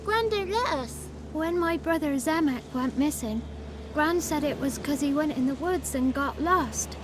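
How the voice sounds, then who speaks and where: Very high-voiced cheeky peasant child. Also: female voice, expressive, a little slow, noisy background. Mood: wary. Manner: blunt, rustic ungrammatical talk. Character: cheeky, blunt peasant orphan child in a swamp. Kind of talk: video game character acting. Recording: music behind the voice.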